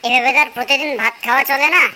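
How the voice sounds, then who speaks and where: A woman's voice: high-pitched, fairly even intonation, racing, pushed and bright. A woman voicing a stubborn, pleading little bird chick in a children's cartoon story, with a whiny, insistent manner. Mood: demanding, sulky.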